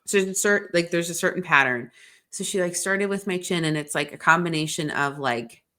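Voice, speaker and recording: A woman's voice: fairly low pitch, brisk, bright, forceful tone. A woman co-hosting a podcast, chatting frankly and rambling with lots of "like" and swearing, sounding congested. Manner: rambling and frank, swears often, heavy "like" filler. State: congested from a sinus infection. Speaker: podcast co-host. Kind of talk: casual chat.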